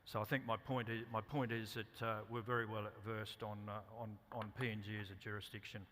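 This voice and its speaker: An Australian man giving a company presentation at a conference, speaking haltingly with many ums and restarts. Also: on the low side, level tone, fairly bright tone, a little hiss or hum. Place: conference hall. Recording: reverberant hall.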